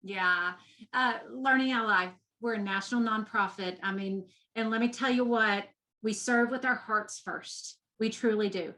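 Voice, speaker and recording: A woman's voice: a little high, a little slow, pushed and bright. A woman with a Texas accent, a guest in an interview, speaking with passion and repeating words for emphasis. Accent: Texan. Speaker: guest, nonprofit education executive.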